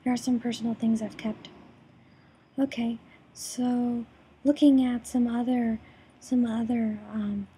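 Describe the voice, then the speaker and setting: A young woman with a hoarse, raspy voice after throat surgery, presenting her course project over a screen recording with many ums and restarts. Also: a little high, level tone, measured pace, pauses often, mellow, a little hiss or hum. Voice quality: raspy, breathy.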